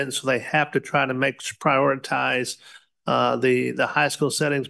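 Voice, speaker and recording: A man presenting an agenda item at a school board meeting, speaking haltingly with many filler pauses. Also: medium pitch, vocal fry, fairly bright tone. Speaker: school district administrator.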